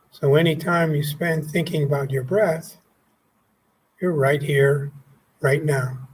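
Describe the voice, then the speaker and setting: A man leading a guided meditation as a teacher, speaking calmly and gently in short phrases with pauses. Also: a little high. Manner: calm, gently instructive, pausing between short phrases.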